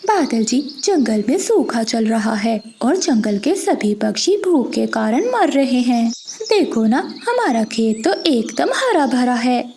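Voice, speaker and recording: A woman's voice: fairly high pitch, big pitch swings, takes it slowly, a little mellow, slight background noise, heavily acted. A woman voicing a haughty, stingy female crow in a children's cartoon, brusque and scornful. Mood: smug. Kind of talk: animated children's moral story dialogue.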